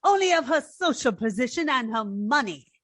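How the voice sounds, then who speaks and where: A woman acts out a defiant young femme fatale. She is haughty and emphatic, her pitch swinging widely, in a dry recording of an old radio detective play. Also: animated, normal speed, no echo. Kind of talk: old-time radio detective drama acting.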